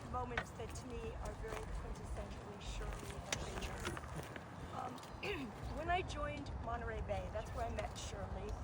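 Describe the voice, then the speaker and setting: A woman speaks informally in tribute at an outdoor gathering, with frequent pauses. She is recorded from far off, and loud outdoor noise covers the voice. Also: on the high side. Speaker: club member giving a tribute. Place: outdoors in a garden. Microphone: distant camera mic, no working PA. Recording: very noisy outdoor recording, distant mic.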